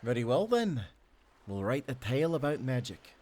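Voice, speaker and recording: An actor playing a devoted, playful sailor husband with a Scottish accent, speaking tenderly in old-fashioned seafaring language for a romantic audio roleplay. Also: male voice, mid-range voice, big pitch swings, moderate pace, lightly acted. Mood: warm, eager. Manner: tender, teasing, given to soft chuckles.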